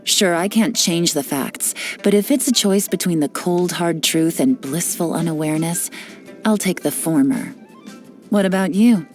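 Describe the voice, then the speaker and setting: Sly lowish woman doing a game spy lady, cool and teasing, bit of music behind. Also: darkish tone, close to an everyday voice. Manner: confident, sly, measured delivery. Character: cool, cunning female spy and info broker. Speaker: game character voice lines. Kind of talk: scripted character voice lines.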